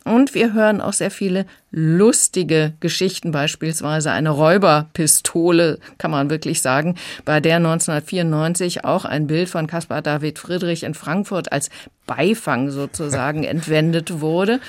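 A woman's voice: low voice, normal speed. A woman explaining and reviewing as a critic in a radio conversation.

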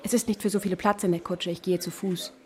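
Actress as a polite, clipped gentlewoman. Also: on the low side, steady tone, fast talker, faint music behind, natural voice. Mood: politely declining. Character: polite, slightly flustered, independent gentlewoman. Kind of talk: German radio drama dialogue.

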